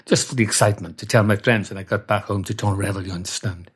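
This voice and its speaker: A Welsh man telling a comic first-person story in a deadpan, wordy way. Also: on the low side, fast, slightly rough.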